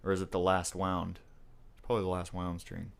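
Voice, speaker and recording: A man with a low voice, hosting a relaxed interview with a friend. He talks in a loose, enthusiastic way, full of slang like "dude" and "man". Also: a little fast, creaky. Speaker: interview host and musician. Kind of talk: casual interview chat.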